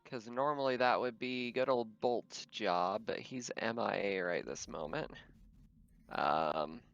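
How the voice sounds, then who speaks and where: A man's voice: on the low side, takes it slowly, vocal fry, fairly bright tone, dry recording, muffled recording. A man chatting casually as game master of a tabletop roleplaying game.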